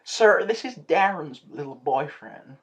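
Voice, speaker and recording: A man on a prank call voicing a prissy young man who claims to be someone's boyfriend, in a breathy, scolding falsetto. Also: expressive, a little slow, highs cut off. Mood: indignant. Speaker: prank caller.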